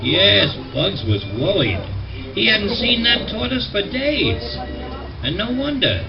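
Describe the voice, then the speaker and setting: A man narrating a children's story record in a lively storyteller manner. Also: high voice, slow pace, creaky, heavily acted. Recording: dull old record under loud music.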